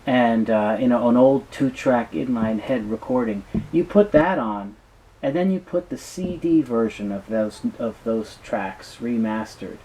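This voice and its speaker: A man being interviewed, talking casually and explaining things in a halting way with frequent restarts. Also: medium pitch, mellow, low-quality recording. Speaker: business founder being interviewed.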